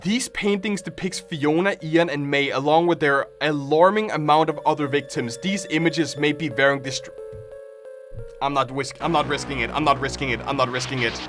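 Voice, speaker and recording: A man with a high-pitched voice streams his reaction to horror videos. He reads aloud and comments in a dramatic way, repeating phrases over and over. Music plays clearly behind him. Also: normal speed. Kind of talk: live reaction commentary, reading on-screen text aloud.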